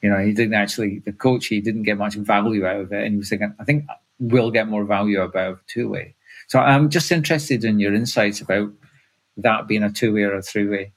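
Man with a lowish voice, relaxed host asking questions, thinks aloud as he talks. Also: fairly quick, poor audio. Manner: chatty, thinking aloud, with restarts. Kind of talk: conversational interview questions.

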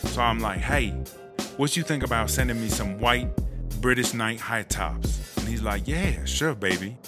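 A brash woman inmate acted out by a man holds court with slangy, swaggering pride. The voice sits at an ordinary male pitch, with music playing behind it in a comic audio drama. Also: measured pace, close to an everyday voice. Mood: swaggering storytelling. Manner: slangy, swaggering street storytelling. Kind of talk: comedic audio drama monologue.

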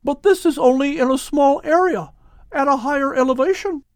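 A man voicing a gentle, tired male dove who speaks softly and with wonder. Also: very high voice, moderate pace, dry recording, studio quality, pitched above the performer's own voice.

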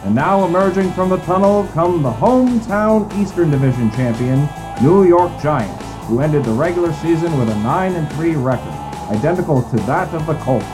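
An actor plays a male 1950s football announcer with a high-pitched voice. He calls plays in a brisk, declamatory, dramatic old-time broadcast style over clearly audible music. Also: natural voice, low-quality recording. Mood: announcing. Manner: declamatory, building excitement on plays. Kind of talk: old-time sports commentary.

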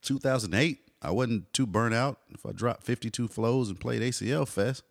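A man in his forties, a hip hop artist telling stories in a relaxed interview, dropping "you know" often. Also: mid-range voice, normal speed, vocal fry, no echo, clean studio sound. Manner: laid-back storyteller, frequent "you know". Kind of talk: casual conversational interview.

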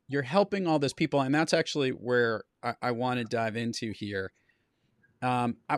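A man hosting a podcast and asking guests questions in an upbeat, encouraging way, with big swings in pitch. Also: dry recording, clean studio sound. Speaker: podcast host. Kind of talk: interview questions.

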